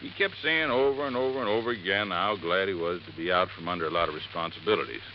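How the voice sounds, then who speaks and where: Man playing a low-voiced, folksy resort manager. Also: fast talker, lightly acted. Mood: matter-of-fact. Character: folksy, unhurried male lake resort manager. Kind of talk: 1950s radio drama acting. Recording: muffled, faintly noisy vintage radio recording.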